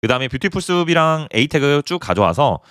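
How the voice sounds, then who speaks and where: A man's voice: on the high side, sing-song, fairly quick, fairly bright tone, dry recording, studio quality. A man teaching a screen-recorded coding tutorial, explaining step by step as he points things out on screen. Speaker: programming instructor.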